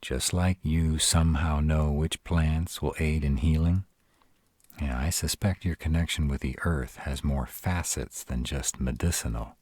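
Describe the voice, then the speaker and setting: Male actor as gruff were-dragon, rock-bottom pitch, husky, protective, dryly sardonic, measured casual delivery, intimate roleplay audio. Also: fairly even intonation, a little mellow, no room sound, clean studio sound, heavily acted. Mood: thoughtful, reassuring. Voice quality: very deep, husky. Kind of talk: roleplay audio drama, intimate one-sided conversation.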